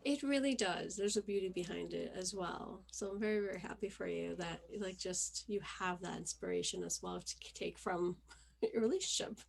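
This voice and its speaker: A woman from Venezuela hosting an interview, chatting in a lively, friendly way. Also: fairly low pitch, moderate pace, gravelly, breathy edge. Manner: chatty, animated, laughs. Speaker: podcast host. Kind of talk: casual conversation.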